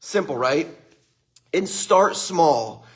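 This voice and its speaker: Upbeat middle-aged male motivational speaker, husky and fairly high for a man, live-stream feel. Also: animated, drawn-out words. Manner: upbeat, greets viewers by name, laughs often.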